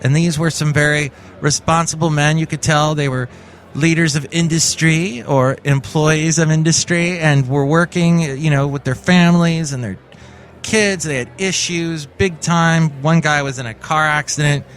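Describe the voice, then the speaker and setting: A man's voice: fairly high pitch, steady tone, normal speed, a bit bright. A man from California hosting his own podcast in a casual, rambling monologue full of jokey asides. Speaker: podcast host.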